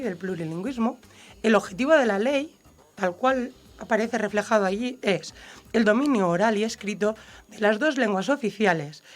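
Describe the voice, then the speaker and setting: A woman from Siete Aguas, explaining a law on a radio discussion and giving lively everyday examples. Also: fairly high pitch. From Siete Aguas, Valencia, Spain.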